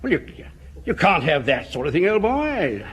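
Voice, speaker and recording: An actor playing an overbearing, snobbish older army officer with an upper-class English accent in a broadcast sitcom. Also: male voice, on the high side, very animated, moderate pace. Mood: disapproving. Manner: blustering, condescending. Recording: faintly noisy old radio comedy recording.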